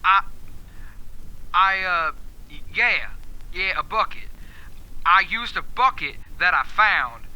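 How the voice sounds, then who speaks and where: A man playing a hesitant, muddled murder suspect in a radio drama, halting and unsure through a recorder-like filter. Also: high-pitched, lively intonation, pushed and bright, exaggerated voice, rough recording. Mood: nervous, unsure.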